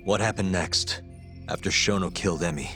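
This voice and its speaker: An actor playing a tough, commanding interrogator in a video game cutscene, speaking in a terse, firm, controlled way. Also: male voice, deep voice, moderate pace, over music, close to an everyday voice. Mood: stern, pressing.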